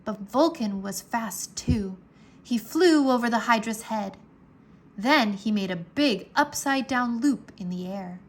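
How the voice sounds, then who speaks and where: Slow, higher-pitched woman reading a children's story. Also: expressive. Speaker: storybook narrator. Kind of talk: expressive children's read-aloud.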